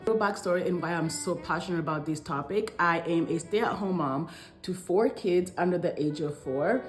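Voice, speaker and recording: Low-voiced woman vlogger in her thirties. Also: reverberant. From Congo. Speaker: vlogger, stay-at-home mother. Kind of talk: scripted talk to camera in a lifestyle vlog.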